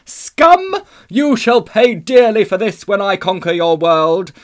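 Man voicing an imperious high-pitched witch queen. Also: takes it slowly. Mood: scornful, triumphant. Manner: grand, declaiming, booming threats. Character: imperious evil witch queen, proud and scornful.

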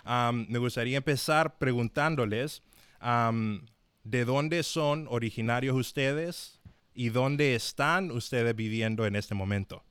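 Hombre presentador de voz clara y seca. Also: male voice, medium pitch, measured pace, a bit bright, smooth, no echo. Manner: hesitant, many fillers. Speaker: host. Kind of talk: live-streamed interview.

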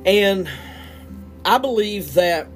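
An earnest man hosting a solo podcast, his voice sitting a little higher than most. He speaks with plain indignation, and soft guitar music plays quietly behind him. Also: expressive, slow pace. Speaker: podcast host.

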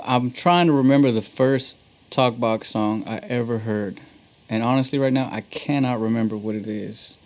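A man's voice: mid-range voice, darkish tone, lo-fi sound. A man from Texas with an American accent, chatting casually as an interview guest and explaining things in an enthusiastic, slangy way. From Texas, United States. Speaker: guest, musician.

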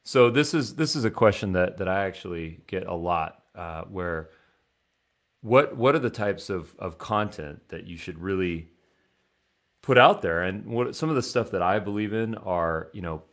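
A man with a low voice speaks as an interviewer in a conversational exchange. He thinks aloud, repeating the starts of phrases as he shapes what he wants to say. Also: lively intonation, normal speed.

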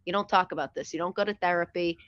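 Young woman guest, lower voice, animated and profane, dull lo-fi dry mic. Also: dry recording. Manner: animated, profane, quick jokes with filler "like". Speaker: podcast guest. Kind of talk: casual chat.

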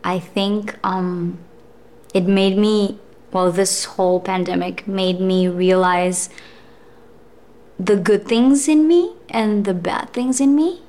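A woman's voice: mid-range voice, fairly even intonation, slow, darkish tone. A young adult woman, an actress, talking in a casual interview with frequent fillers. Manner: thoughtful, with frequent fillers and laughs. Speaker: actress and guest.

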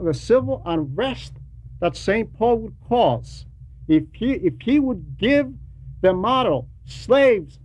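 A man giving a homily to a class in a deliberate, didactic way, pausing between short phrases and repeating words for emphasis, on a dull, lo-fi recording. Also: fairly high pitch, darkish tone. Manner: didactic, poses rhetorical questions. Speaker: religious teacher reading a translated homily to a class. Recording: dull lo-fi recording with faint noise.

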